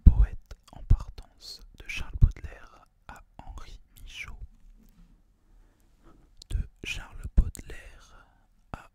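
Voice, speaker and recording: A young man from France hosting ASMR, whispering breathily to viewers in a soothing, deliberate manner. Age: young adult. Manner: soothing, deliberate, repeats phrases softly. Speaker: ASMR video host. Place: small student apartment, indoors.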